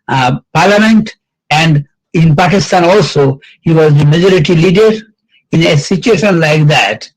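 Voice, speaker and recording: Slow, halting older man recalling the past. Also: fairly high pitch, low-quality recording. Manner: slow, halting, with many fillers and restarts. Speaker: event speaker. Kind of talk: reminiscing speech.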